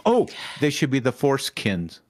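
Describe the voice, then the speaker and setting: A man's voice: mid-range voice, wildly swooping pitch, a little slow. A man chatting casually as a panelist on a comedy podcast, in a joking manner.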